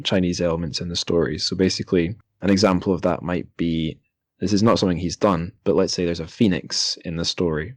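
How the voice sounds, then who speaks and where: A man's voice: sits low, a little mellow, dry recording. A man hosting a casual literary interview, thinking aloud in a hesitant way and often correcting himself. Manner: hesitant, self-correcting, musing. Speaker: podcast host.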